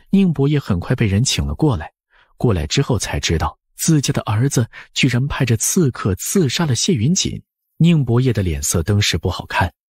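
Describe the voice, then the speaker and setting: A man's voice: mid-range voice, slightly rough, no echo, clean studio sound. A man narrating a novel as an audiobook, scripted and dramatic.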